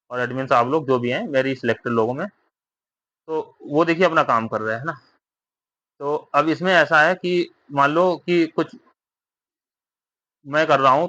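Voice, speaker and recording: Man, sharp forceful voice, dry dull recording, informal explainer, repetitive, tag questions. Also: on the high side, no room sound. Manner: repetitive, with frequent tag questions seeking agreement. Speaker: exam update vlogger. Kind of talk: informal explainer addressed to exam candidates.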